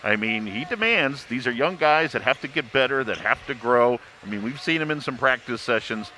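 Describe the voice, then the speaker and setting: A man's voice: medium pitch, animated, fairly quick, bright, forceful tone, rough recording. A male radio sports broadcaster chatting casually, telling stories in an emphatic, folksy way, with venue noise behind him.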